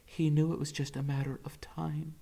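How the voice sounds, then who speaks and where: A man, a teacher, reading a novel aloud with expressive first-person storytelling. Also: fairly high pitch, moderate pace, vocal fry.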